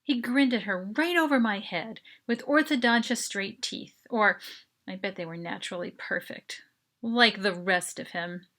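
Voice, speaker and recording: A woman reading a first-person short story as its author, in an expressive, dramatic narration style. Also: mid-range voice, measured pace.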